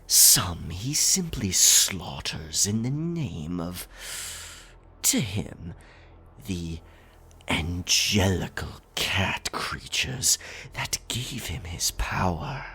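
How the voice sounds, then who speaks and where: A man playing a dominant, predatory werecat pirate captain with a stage pirate accent, telling a scary story in a theatrical, gravelly ASMR roleplay style. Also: sits low, very animated, sharp tone, exaggerated voice. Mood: sinister, teasing. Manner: slow, theatrical, breathy whispering that swells into growled taunts.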